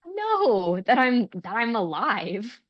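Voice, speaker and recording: A woman's voice: fairly high pitch, very animated, takes it slowly, no echo, muffled recording, heavily acted. An actress playing a kind, upbeat young woman in a naturalistic script read, cheerful and earnest with some hesitancy. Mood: gleeful, bright.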